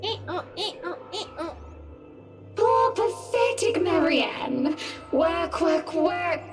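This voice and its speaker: A woman's voice: very high voice, expressive, slow pace, music in the background, full character voice. A woman voicing a theatrical woman in a horror game, drawing out words in an exaggerated, mocking way. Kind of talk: horror visual novel voice acting.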